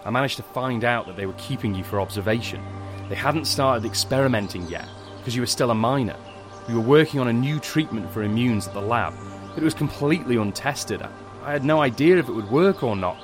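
An actor playing a guilt-ridden scientist, speaking haltingly and gravely in a science fiction audio drama. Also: male voice, mid-range voice, close to an everyday voice. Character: guilt-ridden male scientist confessing a secret. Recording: music under the dialogue.

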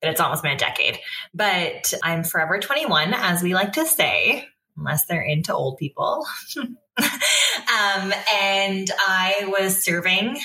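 A woman's voice: medium pitch, sing-song, measured pace, pushed and bright, a little hiss or hum, low-quality recording. A woman from Canada talking casually and candidly as a podcast guest.

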